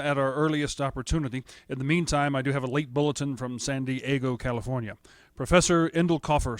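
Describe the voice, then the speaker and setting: An actor playing a male radio announcer covering a lost broadcast, calm but flustered, in an old radio drama. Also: a little high, no room sound, natural voice. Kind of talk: 1930s radio drama acting.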